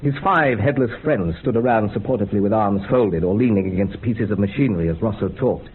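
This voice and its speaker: An actor playing a genteel experimenter in a radio drama, describing grisly visions in a measured, literary, matter-of-fact way. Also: male voice, lowish voice, breathy edge. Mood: calm, entranced. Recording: muffled narrow-band radio recording, background hiss.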